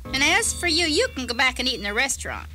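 A woman plays a sharp-tongued mother in a radio story. The voice is high and very lively in pitch, scolding and tart, with music clearly behind it. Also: fast.

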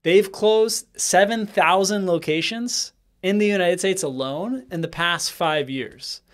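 A man in his thirties gives a skeptical business commentary straight to camera, talking with his hands, in a small bright room with a desk microphone. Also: high voice, measured pace. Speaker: franchise consultant and channel host. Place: small bright indoor room with bookshelf and plant. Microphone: desk microphone in front of him.

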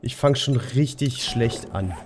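A man's voice: medium pitch, a little slow, faint music behind. A young man with a cold, hosting a co-op gameplay session in casual chat, self-mocking and full of filler sounds, on a headset at home. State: has a cold. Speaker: gaming host. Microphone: headset at desk.

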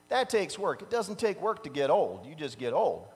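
A man's voice: on the high side, normal speed. A man in his fifties preaching a casual, conversational sermon from a church pulpit, telling personal stories and chuckling at himself. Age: 50s. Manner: animated storytelling, laughs at his own stories. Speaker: pastor. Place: large church sanctuary stage. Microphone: standing at a pulpit mic, far camera. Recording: long reverb from a church hall.

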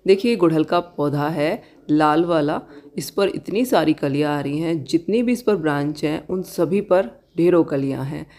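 A woman giving a casual tour of her balcony garden outdoors, gently pointing out plants and giving tips to viewers. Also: sits low, normal speed. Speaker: garden vlogger.

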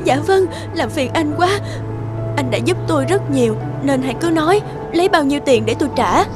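A woman's voice: sits high, expressive, brisk. A woman playing a distressed, grateful young wife and mother in a dubbed cartoon, with music behind her.

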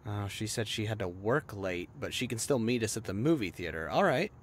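An animated young adult man voicing a protective, judgmental friend in an audio drama. The delivery is casual and blunt, with lively pitch swings over faint ambient noise. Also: fairly quick, close to an everyday voice. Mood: chatty. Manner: blunt, sarcastic, chatty. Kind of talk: roleplay audio drama, conversational acting.

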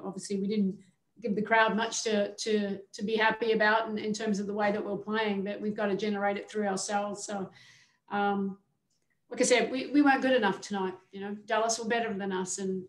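Candid middle-aged woman coach, echoey room. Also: mid-range voice. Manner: candid, measured, with frequent fillers and restarts. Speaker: head coach. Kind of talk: postgame press conference answers. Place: indoor media room before a team backdrop.

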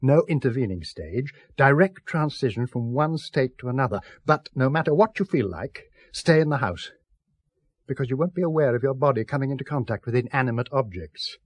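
A man's voice: mid-range voice, expressive, a little fast, no echo, natural voice. An actor playing an intense, persuasive male scientist in a 1970s British radio drama, articulate and insistent. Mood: instructive, insistent.

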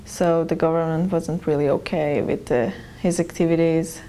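A young woman from Iran recounts her experiences in a small plain room, recorded close on a lapel mic. Her voice sits low and mellow, and she speaks thoughtfully with occasional restarts. The tone stays calm and reflective. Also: normal speed, slight background noise, poor audio. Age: young adult. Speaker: interviewee. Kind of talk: personal account.

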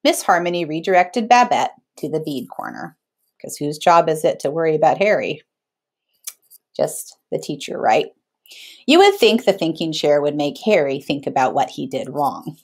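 A woman in her thirties reading a picture book aloud to children in an expressive, teacherly way. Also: low-pitched, normal speed. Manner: teacherly, checks in with listeners.

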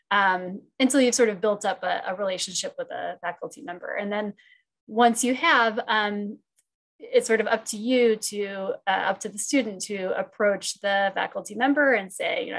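Creaky-voiced woman chair answering questions. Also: medium pitch, rough recording. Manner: hesitant, many fillers and restarts, thinks aloud. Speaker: program chair. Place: room at home. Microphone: wired earbuds on a video call.

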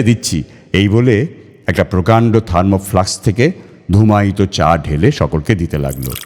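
Deep-voiced man storytelling in heavy reverb. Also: darkish tone. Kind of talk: dramatic literary narration of a ghost story. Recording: long hall reverb on voice.

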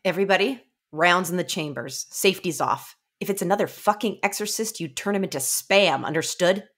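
A woman's voice: lowish voice, fairly quick, lightly acted. A woman voicing a commanding, weary female lieutenant in a comic story reading, with blunt, clipped orders. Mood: stern command.

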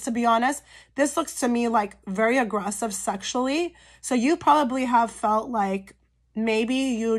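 A woman giving a casual pick-a-card tarot reading straight to her viewers, her voice a little hoarse and cracky from a cold, often checking in with "okay?". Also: on the high side. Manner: chatty, frequent "okay?" check-ins with viewers. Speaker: tarot reader.

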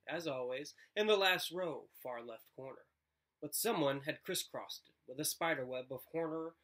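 Male storybook reader, thirties, bright forward tone, expressive narration. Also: on the high side. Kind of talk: expressive read-aloud narration. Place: living room, indoors.

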